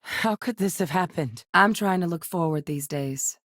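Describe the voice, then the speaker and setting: A steady, earnest scientist-soldier woman from a video game, her voice on the low side for a woman. She speaks in a measured, composed way, with a dry studio sound and no room around it. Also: no echo. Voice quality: measured and composed, with sudden urgent shouts in combat. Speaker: game companion character.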